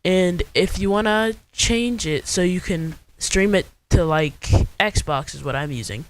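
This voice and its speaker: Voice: mid-range voice, unhurried. Casual young tutorial host, mid-range voice. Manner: informal, step-by-step instructing. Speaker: video host.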